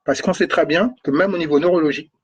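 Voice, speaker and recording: Husky man, runny nose, repeating himself. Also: on the high side, fast talker. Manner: repeats words, thinks aloud, stresses points with "d'accord?". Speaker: kinesiologist and energy coach. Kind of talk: spoken talk on spirituality. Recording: dull lo-fi recording.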